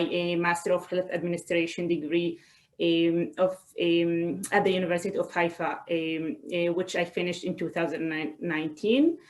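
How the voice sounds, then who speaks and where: A woman speaks on a webinar about herself. Her voice sits a little low. She talks haltingly, often pausing as she searches for the next phrase. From Israel. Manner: hesitant, halting delivery with frequent pauses. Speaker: guest speaker, doctor. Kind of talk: personal talk in a webinar. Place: online video call.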